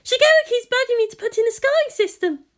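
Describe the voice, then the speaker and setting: A very high, lively young woman tech assistant played by a female reader, shy and admiring, speaking in an earnest, breathless rush like an anime junior. Her pitch swings widely as she defers to her senior. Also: quick pace. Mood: eager. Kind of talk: anime fan fiction character voice.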